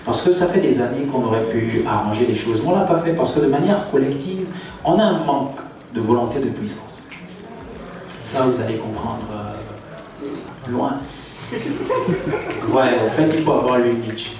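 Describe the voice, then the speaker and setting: A man making relaxed, self-mocking remarks. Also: medium pitch, moderate pace, long pauses, noisy background. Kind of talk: casual remarks. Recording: echoey hall, distant mic, crowd.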